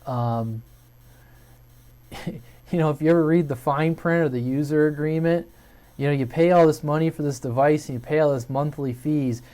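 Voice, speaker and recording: A man's voice: on the high side, moderate pace, mellow. An American man hosting a hobby video channel, talking casually and unscripted to viewers in a hesitant, rambling way, thinking aloud.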